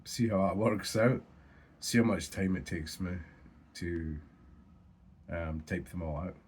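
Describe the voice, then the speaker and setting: A man's voice: low-pitched, slow, a little mellow, slight background noise. A Scottish man vlogging about his fishing, chatting casually with lots of swearing and ums, his voice stuffy and nasal from a blocked nose. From Scotland. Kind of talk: casual chat to camera.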